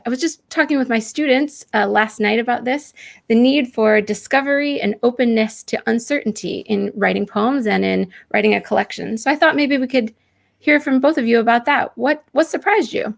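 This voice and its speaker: A woman's voice: fairly high pitch, moderate pace, gravelly. A woman hosting an online poetry interview, introducing writers and putting questions to them. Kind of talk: introductions read out, then conversational questions. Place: live online video call.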